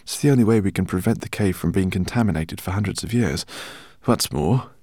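A man voicing an excitable, eccentric older male professor who speaks in effusive, fussy exclamations. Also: sits low, fairly quick, dry recording, clean studio sound, pitched above the performer's own voice. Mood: polite, fussy. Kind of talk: audiobook character reading.

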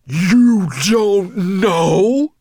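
Growling, imperious dragon villain. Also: male voice, low voice, sing-song, measured pace, gravelly, breathy edge, no room sound, studio quality, exaggerated voice. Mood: enraged, impatient. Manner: menacing, scornful, commanding. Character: cruel, imperious, raging golden dragon master, male.

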